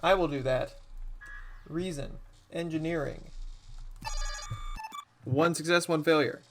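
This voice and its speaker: A man's voice: a little high, fairly even intonation, slow pace. A man speaking casually as a player in a tabletop role-playing game. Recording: clear background noise.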